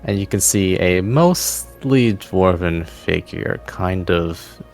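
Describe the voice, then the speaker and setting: A man's voice: sits low, expressive, takes it slowly, gravelly. A man narrating a fantasy tabletop game as game master, in vivid descriptive storytelling. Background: quiet music.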